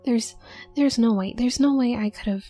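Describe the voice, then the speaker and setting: A woman playing a shy, awkward young witch student in an audio roleplay, speaking softly and breathily with a nervous, halting stammer. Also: a little high, faint music behind, natural voice. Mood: flustered, anxious. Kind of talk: roleplay audio drama addressed to the listener.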